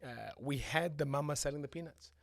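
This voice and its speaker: A man with a South African accent hosting a casual interview, playful and quick to joke. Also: on the high side, fairly even intonation, no echo, clean studio sound. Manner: playful, joking, laughs often. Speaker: host.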